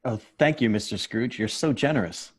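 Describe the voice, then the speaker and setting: A man playing a humble, grateful clerk and father in an amateur table read, with a husky voice. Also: medium pitch, lively intonation, moderate pace, no echo, clean studio sound.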